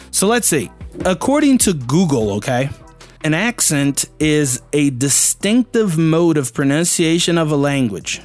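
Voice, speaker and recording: Brazilian man, native Portuguese, raised-pitch voice, chatty English lesson with faint music underneath. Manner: chatty, fills with "you know" and "man", acts out learners' voices. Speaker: English teacher. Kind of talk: casual video lesson.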